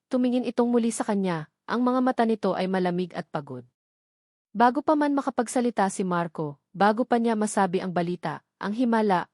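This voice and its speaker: A woman storyteller giving a solemn, deliberate, scripted narration of a dramatic love story. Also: medium pitch, a little mellow, no room sound, clean studio sound.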